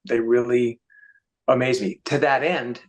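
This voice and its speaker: A man's voice: mid-range voice, steady tone, a little slow. A man speaking as an interview guest, giving reflective, conversational answers.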